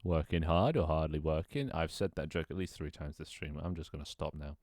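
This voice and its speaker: Young guy streaming, real low voice, rambly and chatty, dry close mic. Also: brisk, a little mellow, dry recording. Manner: rambling, self-talk with frequent restarts and laughs. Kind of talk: casual live-stream chat while gaming.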